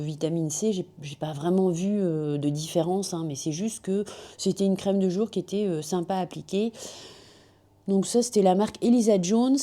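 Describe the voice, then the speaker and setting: A woman from France, a beauty vlogger, chatting casually about finished products at home, slightly hoarse from a cold, with lots of fillers and self-corrections, on a small clip-on mic. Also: mid-range voice, warm tone. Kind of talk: casual product review chat. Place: at home with a machine running nearby. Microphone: small magnetic clip-on mic.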